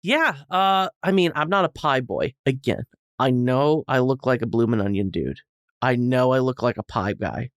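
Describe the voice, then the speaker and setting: A man's voice: medium pitch, normal speed, a bit bright, clean tone, dry recording, studio quality. A man chatting casually and comically as a podcast guest, rambling and self-deprecating. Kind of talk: casual comedic chat.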